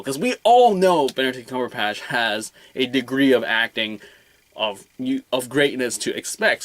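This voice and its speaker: A man's voice: mid-range voice, fairly bright tone, no echo. A man reviewing a film in a casual, unscripted way, rambling colloquially and often repeating words and phrases.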